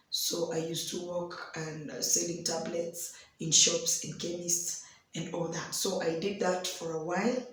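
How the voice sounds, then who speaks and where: A young Kenyan woman with a hoarse voice tells a personal story in an unhurried devotional talk, slipping in Swahili phrases. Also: lowish voice, slight background noise. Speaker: host of a daily devotional. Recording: very echoey room.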